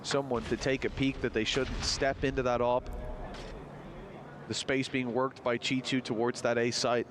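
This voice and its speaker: A man's voice: medium pitch, flat delivery, moderate pace, noisy background. A man giving live play-by-play commentary on a competitive video game match.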